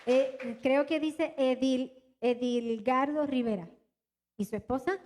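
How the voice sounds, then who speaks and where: A woman's voice: sits high, slow, darkish tone, a little hiss or hum. A Puerto Rican woman pastor with a mixed Latin American Spanish accent, preaching animatedly and talking straight to her congregation in a church. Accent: mixed Latin American Spanish, little Puerto Rican. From Puerto Rico. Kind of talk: live church sermon and announcements. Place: church hall.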